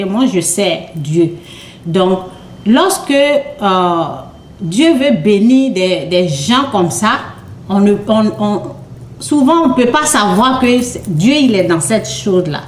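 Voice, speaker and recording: Slow, hesitant woman sharing faith testimony. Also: medium pitch, roomy sound. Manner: hesitant, repeats words, asks "ok?" often. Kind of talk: informal sermon testimony.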